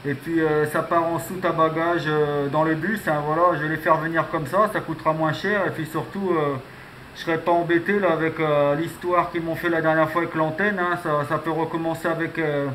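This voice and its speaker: Male radio hobbyist, slightly high voice, casual and rambling, noisy recording. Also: fairly even intonation, a little fast, fairly bright tone. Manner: rambling, punctuates phrases with filler tags. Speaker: amateur radio operator. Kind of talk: casual radio contact chat.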